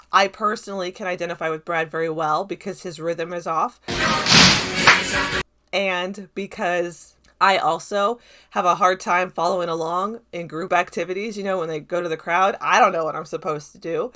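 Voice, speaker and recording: A woman's voice: fairly low pitch, pushed and bright. A woman giving casual, unscripted film commentary to viewers in a chatty, rambling, self-deprecating way. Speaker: video essayist reviewing a film.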